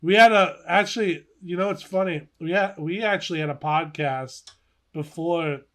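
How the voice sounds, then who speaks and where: A young man co-hosting a casual podcast, telling stories in an animated, giggly way. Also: on the high side, normal speed. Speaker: podcast co-host. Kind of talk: casual podcast chat, reminiscing.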